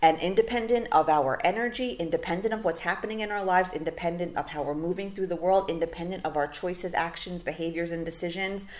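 A woman with a low voice hosts her own podcast alone. She talks in a loose, chatty way with plenty of fillers. Her voice is going a little hoarse as she is losing it. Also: fairly quick, hardly any pauses. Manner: casual, chatty, lots of fillers like "you know" and "like". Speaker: podcast host. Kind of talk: solo podcast monologue.

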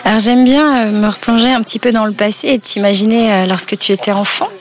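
A woman's voice: medium pitch, fairly even intonation, fast talker, a crowd in the background. A woman hosting a podcast, introducing and interviewing in an informal way.

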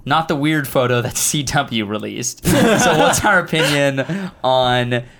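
A man hosting a casual tech and comics podcast, excitable and joking with his co-hosts. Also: medium pitch, slight background noise. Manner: excitable, joking, quick asides. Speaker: podcast host. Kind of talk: casual chat.

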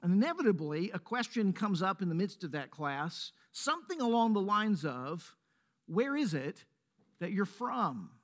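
A man with a Mississippi accent, a pastor leading a prayer and then preaching a sermon in a measured, earnest way. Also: sits high, very animated. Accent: Mississippi Southern. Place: church sanctuary.

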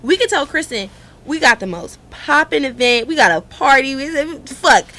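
A young woman from Cleveland co-hosting a talk show, chatting casually with lively, swooping pitch and frank, profane language. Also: moderate pace. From Cleveland, Ohio. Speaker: co-host. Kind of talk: casual chat.

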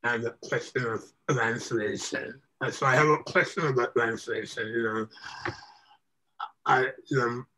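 A man's voice: mid-range voice, steady tone, unhurried, sharp tone, slight background noise, low-quality recording. A man whose mother tongue is Malayalam, interviewing a guest in a hesitant, thoughtful way with many fillers. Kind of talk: casual conversation.

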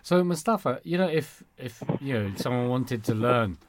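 A man's voice: mid-range voice, normal speed, very noisy. A man hosting a radio show in a studio, talking casually with many fillers, whose first language is Cantonese. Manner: relaxed, chatty, many fillers. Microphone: studio mic.

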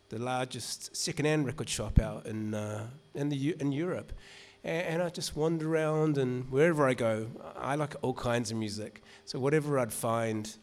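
A man with a New Zealand accent, an author telling a live audience in casual, rambling talk full of restarts. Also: medium pitch. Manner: chatty and rambling, many restarts and "you know". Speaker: author reading at a literary event.

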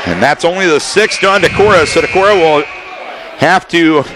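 A man calling live basketball play-by-play for radio, firing off rapid calls that rise to shouts on big plays, in a gym full of people. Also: fairly high pitch. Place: high school gym with a crowd. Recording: loud crowd and gym noise. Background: crowd and gym noise.

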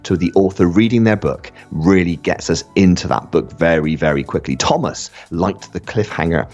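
A radio presenter with a low, slightly husky voice talks enthusiastically and heaps praise on the messages he reads out. The audio is dull and lo-fi, with faint music playing behind him. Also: normal speed, darkish tone. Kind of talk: school radio show, reading out listener comments.

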